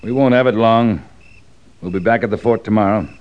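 An actor playing a stern, guarded cavalry captain in a 1950s radio western, curt and commanding. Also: male voice, lowish voice, a little fast, lightly acted. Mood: cold, curt. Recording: dull, faintly noisy old radio recording.

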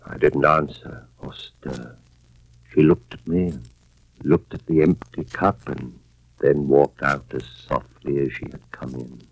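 An actor playing a weary, anxious husband who narrates a suspense tale in measured, dramatic 1940s-50s radio style. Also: male voice, a bass voice, fairly even intonation, gravelly, slightly rough, exaggerated voice. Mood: tense, brooding. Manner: measured, dramatic first-person storytelling. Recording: muffled vintage radio recording with music.

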